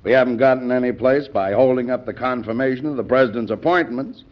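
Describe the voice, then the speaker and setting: An actor playing an impatient, combative American senator in an old-time radio drama, blunt and doubting. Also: male voice, medium pitch, quick pace, close to an everyday voice. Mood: frustrated. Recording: dull, lo-fi old radio recording.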